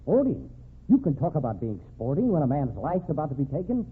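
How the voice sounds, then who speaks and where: A man's voice: a little high, big pitch swings, brisk, a little hiss or hum. An actor playing a dynamic, blunt criminal defense lawyer in an old-time radio drama, pressing his case with passion. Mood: angry, scornful. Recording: narrow, tinny vintage radio recording.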